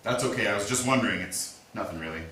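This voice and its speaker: A man acting in a staged reading as a steady, apologetic small-town lawman, speaking in a measured, reassuring way. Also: lowish voice. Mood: measured, uneasy. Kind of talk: staged play reading, naturalistic. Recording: echoey room, distant mic, faint hiss.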